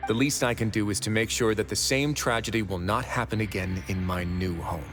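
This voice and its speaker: A man playing a composed, brooding duke who runs a fortress, speaking gravely and deliberately in video game dialogue. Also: lowish voice, fairly even intonation, a bit bright, faint music behind, lightly acted. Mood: resolute. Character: composed, brooding adult male fortress duke with dark past.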